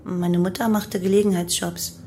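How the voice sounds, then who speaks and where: A woman's voice: lowish voice, little pitch movement, moderate pace, dark tone, a little hiss or hum, close to an everyday voice. A woman playing a young female cult follower who recounts her life and crimes matter-of-factly in a German radio play.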